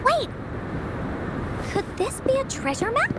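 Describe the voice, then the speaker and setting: A woman voicing a cheerful, excitable little girl adventurer in a video game, playful and bubbly. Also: extremely high pitch, slow pace, very noisy, exaggerated voice. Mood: curious, excited. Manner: refers to self in third person, bubbly. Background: music.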